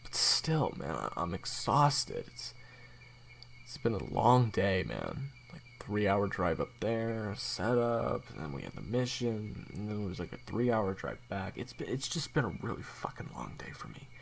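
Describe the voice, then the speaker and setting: Man playing gravelly, slangy young assassin guy. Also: fairly low pitch, sing-song, measured pace, slight background noise, heavily acted. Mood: exasperated, tired. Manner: slangy, grumbling, bantering. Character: worn-out, cocky, joking young male assassin roommate. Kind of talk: casual audio roleplay acting.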